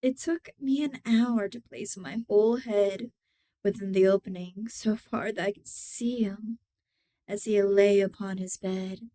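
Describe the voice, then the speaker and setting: A woman reading a gothic horror tale as its mad, cunning, obsessive murderer, deliberate and theatrical, moving between hushed and emphatic delivery with a smooth voice. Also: medium pitch, fairly even intonation, close to an everyday voice. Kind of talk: dramatic horror story reading.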